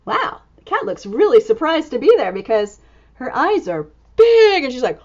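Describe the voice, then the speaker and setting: Animated, high-pitched woman reading to young children. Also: highs cut off. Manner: expressive, exclaiming, drawn-out words with hushed asides. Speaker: storyteller and teacher reading a picture book aloud.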